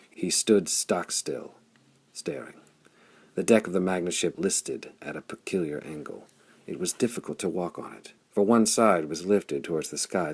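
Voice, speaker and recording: A man's voice: low-pitched, slightly rough. A man reading pulp adventure fiction as an audiobook narrator, in a dramatic scripted style.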